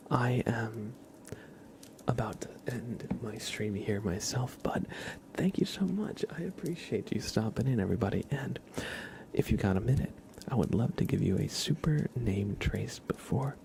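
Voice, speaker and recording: A man hosting an ASMR livestream in a soft, hushed whisper and low murmur, gently and unhurriedly talking to his viewers. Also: vocal fry, a little hiss or hum. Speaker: livestream host.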